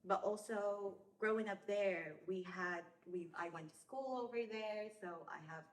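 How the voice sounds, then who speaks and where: A woman in her twenties from Somalia, raised in Saudi Arabia, telling stories as a podcast guest in a relaxed way with many fillers. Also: mid-range voice, unhurried. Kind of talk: casual interview chat. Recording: roomy echoey room.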